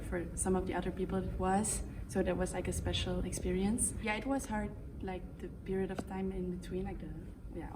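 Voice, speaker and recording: Teen Belgian girl, chatty, lots of "like", low-ish voice, off-mic. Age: teens. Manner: chatty, many likes, laughs easily. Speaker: young actor interviewed. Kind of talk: casual interview answers. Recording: distant mic with background noise.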